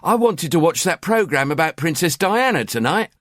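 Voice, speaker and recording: A man's voice: fairly high pitch, lively intonation, moderate pace, a bit bright, no echo, studio quality, voice pushed up. A man voicing a nagging middle-aged wife with an East London accent, sarcastic and scolding.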